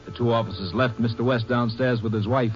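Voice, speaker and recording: A man narrating a 1950s radio drama in a formal, measured, dramatic documentary style. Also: medium pitch, steady tone, faint music behind. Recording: dull old 1950s radio recording.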